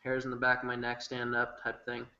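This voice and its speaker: A man's voice: mid-range voice, completely flat delivery, a little fast, sharp tone. A man chatting casually as a player in a tabletop roleplaying game. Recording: echoey, dull room recording.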